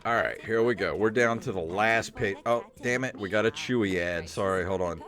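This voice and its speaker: A man's voice: on the low side, normal speed, fairly bright tone. A middle-aged man hosting a comedic podcast, talking casually in a rambling, sweary, mildly exasperated way. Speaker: podcast host. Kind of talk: casual comedic podcast chat and reading aloud. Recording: music playing under the voice. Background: royalty-free synthesizer music.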